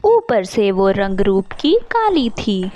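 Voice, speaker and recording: A woman's voice: mid-range voice, sing-song, takes it slowly, mellow, dry recording. A woman narrating a moral story in a scripted, storybook style.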